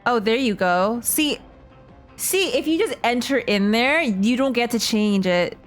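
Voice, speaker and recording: A woman's voice: on the high side, expressive, unhurried, soft background music. A woman live-streaming a game, chatting casually and thinking aloud in a rambling, slangy way. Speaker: streamer playing and reacting to a game.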